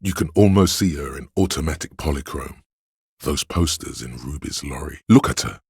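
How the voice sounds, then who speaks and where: An actor voicing a seductive, hedonistic inner voice of addiction and lust in a video game, savoring words in a husky, insinuating way. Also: male voice, expressive, normal speed, a little mellow, no room sound, clean studio sound, full character voice. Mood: lustful, savoring. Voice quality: deep, gravelly, husky. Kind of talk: video game character narration.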